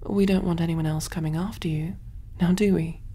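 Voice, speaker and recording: A woman voicing a kind, clinical alien surgeon in a gentle, reassuring ASMR roleplay, soft-spoken and often whispering. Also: fairly low pitch, fairly even intonation, brisk, husky. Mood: fascinated.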